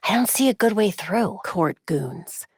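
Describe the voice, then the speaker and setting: A woman playing a sharp, determined young American vigilante heroine in a video game, with a wry, quippy delivery. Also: mid-range voice, expressive, measured pace, slightly rough, dry recording, studio quality, heavily acted. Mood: wry, focused. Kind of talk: acted video game voice lines.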